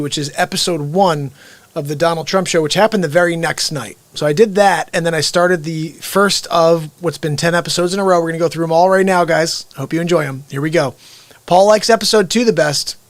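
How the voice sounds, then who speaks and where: A man's voice: sits high, a little fast, dry recording. A man hosting a livestream, chatting casually and upbeat as he speaks directly to his viewers. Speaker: livestream host. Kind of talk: casual chat.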